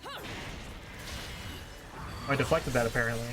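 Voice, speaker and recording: A man streams a video game with casual, explanatory commentary. His voice sits at a middle pitch, and game music plays clearly behind him. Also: wildly swooping pitch, moderate pace. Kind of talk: live gameplay commentary.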